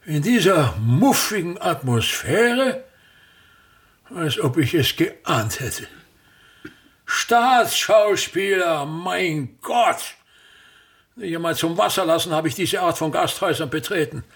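A man's voice: a little high, lively intonation, takes it slowly, a bit bright, heavily acted. An older man acting a pompous, grumbling old state actor in a radio play, declaiming theatrically. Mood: disdainful.

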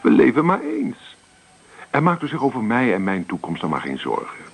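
An actor playing a restless, evasive young student and farm son in a Dutch radio play, brushing off worries breezily. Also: male voice, medium pitch, huge pitch swings, moderate pace, vocal fry, breathy edge, exaggerated voice. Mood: defensive, dismissive. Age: young adult.

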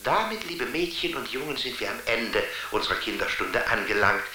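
An actor playing a touchy, talkative television character in a children's radio play, explaining things theatrically. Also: male voice, medium pitch, normal speed, bright, forceful tone, reverberant, slight background noise. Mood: mocking, sing-song. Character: touchy, talkative male TV character living inside the screen.

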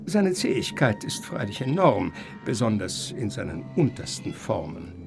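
Radio drama professor: learned, eccentric, creaky voice with very animated pitch, grand rhetorical delivery over music. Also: male voice, unhurried, full character voice. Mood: solemn lecturing. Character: eccentric, learned male professor lecturing grandly on life and nature. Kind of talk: German radio play acting. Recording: radio play with music underneath.

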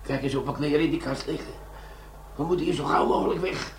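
An actor playing a bumbling, blustering army sergeant turned crook in a comic crime radio play. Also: male voice, fairly high pitch, steady tone, fast, roomy sound, recorded from a distance, a little hiss or hum, natural voice. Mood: weak, dismayed. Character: bumbling army bomb-disposal sergeant turned gold thief, excitable.

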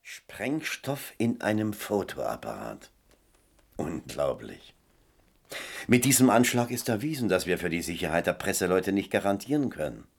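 Deep, gravelly scheming boss. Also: male voice, big pitch swings, moderate pace, full character voice. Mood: calculating. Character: scheming, self-pitying, domineering man of authority. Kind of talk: German radio play acting.

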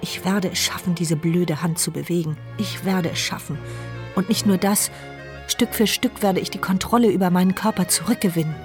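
A woman's voice: on the low side, moderate pace, music in the background, natural voice. An actress voicing the inner monologue of a paralyzed, mute young woman in a radio play, intimate and reflective. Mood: determined.

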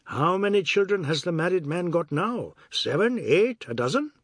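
A man's voice: sits high, expressive, moderate pace, no echo, clean studio sound, pitched above the performer's own voice. A man voicing a boastful, mischievous older male relative in an audiobook, needling and lecturing emphatically. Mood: teasing, inquisitive.

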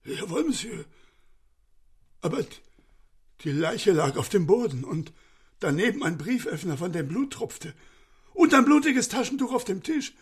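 An older man playing a distraught, deferential elderly manservant in a radio crime comedy, speaking in an agitated, halting way. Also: sits high, very animated, husky, heavily acted. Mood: flustered.

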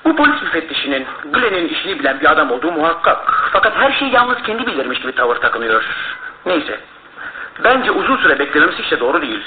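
Earnest, lively, higher-voiced gentleman. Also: fast talker. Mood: impatient. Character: earnest, well-spoken wealthy gentleman, eager to act. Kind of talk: Turkish radio theatre acting. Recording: phone-like noisy old radio, roomy echo.

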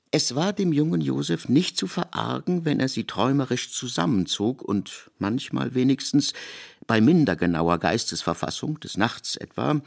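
Male audiobook narrator for literary fiction: measured, very varied melody, touch of fry. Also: medium pitch. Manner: measured, expressive, elaborate long sentences.

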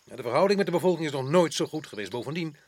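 An actor the playing a weary, defensive colonial official in a radio drama, measured and dismissive. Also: male voice, fairly high pitch, expressive, no echo. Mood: calm, reasoning.

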